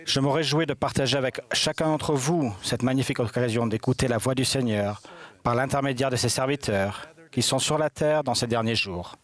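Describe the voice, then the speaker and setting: A man interpreting a church conference address in a formal, measured way. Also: medium pitch, a bit bright. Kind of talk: formal sermon delivered in translation.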